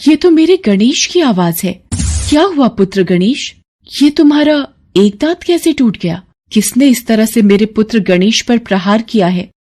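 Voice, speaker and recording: A woman acting an animated, protective mother goddess. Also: fairly high pitch, normal speed. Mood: alarmed, angry. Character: mother goddess, fiercely protective of her son.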